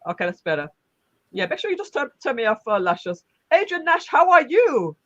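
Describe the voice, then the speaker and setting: A woman hosts a live online talk show, welcoming guests in a bubbly, effusive way. Her voice swings widely in pitch. The sound is dry and a little muffled. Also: big pitch swings, fairly quick, fairly bright tone, no echo. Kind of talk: casual live-stream hosting.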